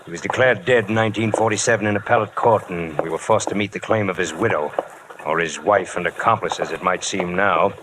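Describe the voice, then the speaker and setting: An actor playing a sceptical, matter-of-fact insurance claims chief in 1940s radio drama style, on an old recording. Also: male voice, low voice, fairly quick, background noise, close to an everyday voice.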